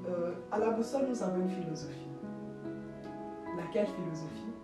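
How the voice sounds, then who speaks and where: Woman host, measured and formal, distant mic in an echoey room. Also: lowish voice, lively intonation. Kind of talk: talk show hosting. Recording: echoey studio, distant mic, guitar music.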